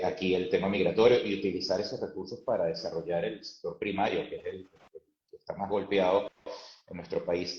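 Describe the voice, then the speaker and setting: A deep-voiced man speaks as the host of a conversational interview. He searches for his phrasing and moves forward hesitantly. The sound is echoey and a bit muffled. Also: a little mellow. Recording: roomy, dull live stream audio.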